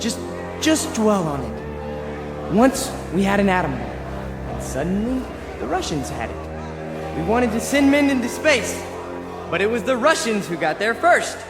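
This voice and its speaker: An actor playing a fervent young leader of a fringe political movement in a radio drama, with impassioned, rhetorical delivery. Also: male voice, high-pitched, sing-song, unhurried, long pauses, heavily acted. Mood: fiery, ranting. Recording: roomy echo, music behind.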